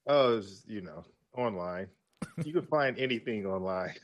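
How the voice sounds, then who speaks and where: A man's voice: on the low side, animated, measured pace. A man co-hosting a podcast in casual chat, eager and playful, laughing often. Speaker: podcast co-host.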